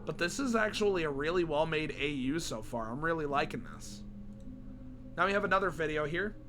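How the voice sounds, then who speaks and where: Hoarse young man with a cold. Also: a little high, lively intonation, fairly quick, background noise. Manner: chatty, swears when surprised. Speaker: reaction video host. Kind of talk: casual reaction commentary.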